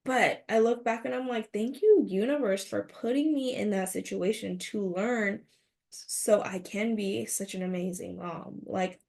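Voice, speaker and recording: A woman's voice: medium pitch, unhurried, gravelly, a little mellow. A woman in her thirties hosting a motivational podcast, speaking earnestly and asking rhetorical questions. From United States. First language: Mandarin. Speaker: podcast host.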